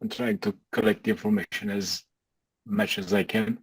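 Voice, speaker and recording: A man originally from Bulgaria speaks English haltingly on a video call. He pauses and restarts often as he looks for words, and his voice sits dry and close to the mic. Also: fairly low pitch, very animated, creaky, slightly rough, no echo, low-quality recording. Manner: hesitant, halting, searching for words. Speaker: meetup attendee. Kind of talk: casual video call chat.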